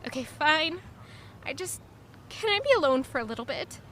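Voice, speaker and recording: A woman's voice: high-pitched, very animated, moderate pace, fairly bright tone, slight background noise. A woman acting a spirited, guarded young noblewoman and lady-in-waiting, in audio drama dialogue and narration. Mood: exasperated, coaxing.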